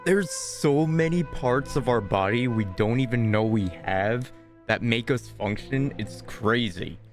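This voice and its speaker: A man's voice: medium pitch, a little slow. A man giving casual gameplay commentary in a rambling, offhand way. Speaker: game streamer. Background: game music.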